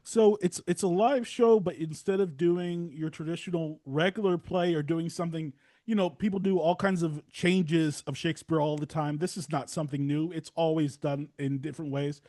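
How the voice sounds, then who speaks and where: High-voiced man, rambling casual podcast host. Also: steady tone, moderate pace, mellow, no echo. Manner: rambling, informal, slangy. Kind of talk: casual interview chat.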